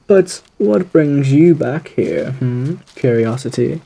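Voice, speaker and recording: A man voicing a suave, sinister gentleman lurking in the woods, in a theatrical, genteel ASMR roleplay with a husky voice. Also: mid-range voice, expressive, exaggerated voice. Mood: teasing, musing. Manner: theatrical, genteel, drawn-out phrasing with endearments. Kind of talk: ASMR audio roleplay monologue.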